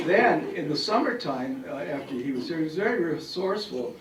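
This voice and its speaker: An older man, a retired professor, telling rambling stories at a farewell event, his voice raspy and hoarse from bronchitis. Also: fairly high pitch, lively intonation, brisk, far from the mic, crowd noise, noisy background. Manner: rambling anecdotes with frequent fillers. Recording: echoey hall recording from afar.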